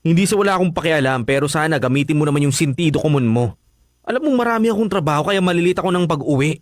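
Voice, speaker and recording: An actor with a Filipino accent playing a cold, irritable boyfriend, curt and scolding, in a radio drama. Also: male voice, on the high side, lively intonation, fast, no room sound, clean studio sound, natural voice. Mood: dismissive, annoyed.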